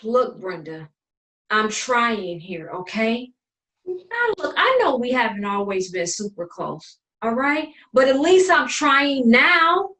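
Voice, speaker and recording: An actress playing an exasperated, practical adult sister in a stage drama, sassy and emphatic, recorded in a room away from the mic. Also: female voice, fairly high pitch, sing-song, slow, pushed and bright, exaggerated voice. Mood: pleading, frustrated. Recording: roomy, distant from the mic.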